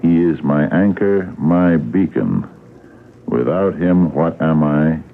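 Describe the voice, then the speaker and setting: A shrewd police captain in a radio mystery, with an extremely deep, gravelly voice. He questions patiently, in halting, understated phrases, heard over a thin, narrow-band old recording. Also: lively intonation, slight background noise, heavily acted. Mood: probing. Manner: hesitant, deliberate, understated interrogator. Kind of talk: radio drama acting.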